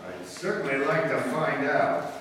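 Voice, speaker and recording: A man's voice: mid-range voice, expressive, a little slow, fairly bright tone. An actor playing an eager, courteous young male detective in a live radio drama reading, recorded far off in an echoey hall. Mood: earnest. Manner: courteous, earnest. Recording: echoey hall, mic far off, faint noise.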